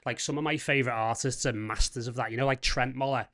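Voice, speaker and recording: A man with a softened Scouse accent, hosting a live music feedback stream in enthusiastic casual chat. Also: mid-range voice, steady tone, fast. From Liverpool, England. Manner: enthusiastic, leans on "you know" and "mate". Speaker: host of a music feedback show.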